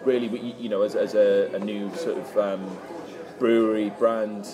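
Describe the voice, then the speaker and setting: A man giving informal, halting answers as an interview guest. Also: on the low side, flat delivery, rough recording. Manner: hesitant, halting. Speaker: interview guest, brewery founder.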